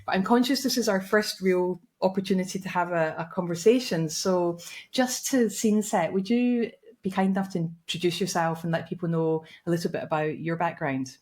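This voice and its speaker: A woman's voice: on the low side, moderate pace, darkish tone. A woman hosting a podcast, sounding upbeat and enthusiastic as she introduces the show and her guest. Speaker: podcast host. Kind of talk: scripted podcast intro then conversation.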